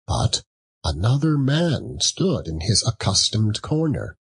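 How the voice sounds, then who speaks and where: A man narrating a classic story as a dramatic, measured audiobook reading. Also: on the low side, breathy edge. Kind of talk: dramatic scripted literary narration.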